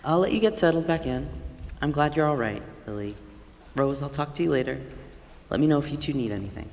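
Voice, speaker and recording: A woman playing a friendly, grateful neighbor in a staged reading, earnest, chatty and warm in manner. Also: deep voice, animated, brisk, gravelly. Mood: kind. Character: Clark, friendly, grateful neighbor with an aging mother. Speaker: actor. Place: high school theater stage. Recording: reverberant hall.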